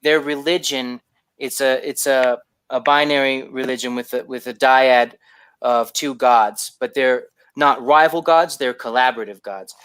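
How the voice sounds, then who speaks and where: Clear-voiced man explaining his fiction writing. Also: medium pitch, fairly even intonation, a bit bright, dry recording. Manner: halting, explanatory, repeats words as he formulates. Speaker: guest, fiction writer. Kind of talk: thoughtful conversational interview answers.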